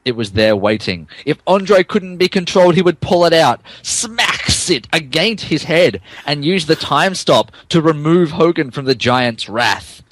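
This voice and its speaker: A man's voice: a little high, expressive, unhurried, few pauses, noisy background. A man co-hosting and narrating a comic dramatic reading, mock-dramatic and often stumbling over his words. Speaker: podcast co-host and narrator.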